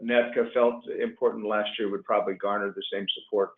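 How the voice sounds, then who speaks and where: Male moderator, mid-range pitch, measured and halting formal questioning, roomy echo on telephone-grade audio. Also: flat delivery. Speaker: webinar moderator. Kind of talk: formal policy interview questioning. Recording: echoey, tinny phone-like line.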